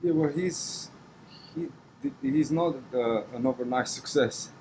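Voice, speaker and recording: A man with a Romanian accent giving conversational interview answers as a coach, halting and repeating words as he goes. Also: mid-range voice, darkish tone, a little hiss or hum, low-quality recording. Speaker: wrestling coach.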